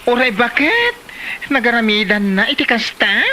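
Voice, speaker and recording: A woman's voice: fairly high pitch, big pitch swings, moderate pace, sharp tone, a little hiss or hum, low-quality recording. A woman playing a sympathetic, exclamatory village storekeeper in a comedy drama, with an Ilocano accent. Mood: sympathetic, exclaiming. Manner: exclamatory, reactive.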